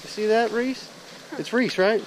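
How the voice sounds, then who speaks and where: A man's voice: high voice, a little slow, noisy background. A middle-aged man hosting a fishing show, narrating on the spot with enthusiastic exclamations, outdoors on a beach. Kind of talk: excited on-the-spot vlog narration. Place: outdoors on an ocean beach at dawn. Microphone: action camera worn on the body.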